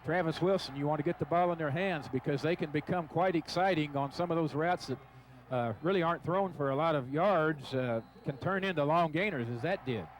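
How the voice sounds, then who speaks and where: A man's voice: on the high side, brisk. An American man giving conversational, slightly hesitant color commentary on live radio football. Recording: live radio broadcast with background noise.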